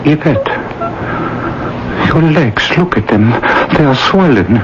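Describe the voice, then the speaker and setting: A man's voice: mid-range voice, expressive, takes it slowly. An actor playing an anxious, devoted friend of a lady in a radio drama, speaking with urgent concern. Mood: worried. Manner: urgent, solicitous. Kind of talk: 1980s radio drama acting. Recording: dull, noisy old radio broadcast.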